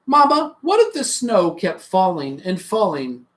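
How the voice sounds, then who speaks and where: A storybook reader voicing an excitable, worried little child who pleads with questions. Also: medium pitch. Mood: eager, worried. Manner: pleading, rapid what-if questions.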